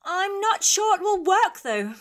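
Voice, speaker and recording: A woman's voice: very high-pitched, sing-song, a little slow, exaggerated voice. A woman playing a polite, clever, slightly hesitant helper in an audio drama, formal and careful in speech. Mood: hesitant, earnest.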